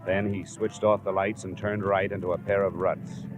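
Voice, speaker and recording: An American actor playing a hard-boiled, impatient investigator in a 1950s radio detective drama, with clipped, dry delivery. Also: male voice, low-pitched, level tone, moderate pace, natural voice, poor audio. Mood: dry narration. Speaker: lead actor and first-person narrator. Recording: restored 1950 radio recording, organ music behind.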